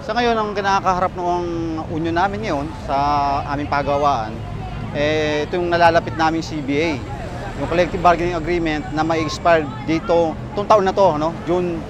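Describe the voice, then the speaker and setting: A man's voice: fairly high pitch, fairly even intonation, moderate pace. A Filipino man, a union worker, answering in a street interview. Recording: noisy street with vehicles.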